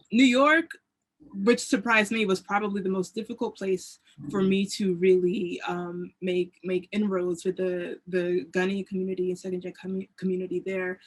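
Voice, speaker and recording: American woman researcher, monotone, measured panel talk. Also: mid-range voice. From United States. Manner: thoughtful, frequent fillers. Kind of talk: academic panel discussion.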